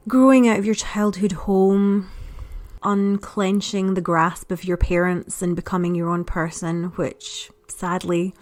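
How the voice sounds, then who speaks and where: A woman's voice: fairly low pitch, darkish tone. An adult woman giving a casual spoken review as a podcast contributor, chatty, reflective and understated. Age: adult parent.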